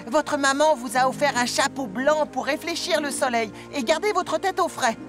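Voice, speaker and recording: A woman plays a helpful little engine in a children's cartoon. The voice is high, courteous and slightly tentative, with music underneath. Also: moderate pace, lightly acted. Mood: helpful, polite. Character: polite, helpful, eager young tank engine. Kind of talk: French cartoon dubbing.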